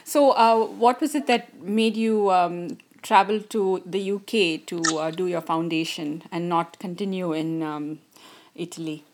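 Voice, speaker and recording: A woman's voice: fairly low pitch, unhurried. A woman hosting a podcast, interviewing a guest politely with frequent hesitations and fillers over a remote call. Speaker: podcast host.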